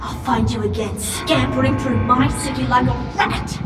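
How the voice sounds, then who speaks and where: A woman's voice: sits high, steady tone, moderate pace, close to an everyday voice. An actress playing a menacing possessed young woman, a taunting, theatrical villain in a sci-fi audio drama. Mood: vengeful. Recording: echoey, buried under loud music.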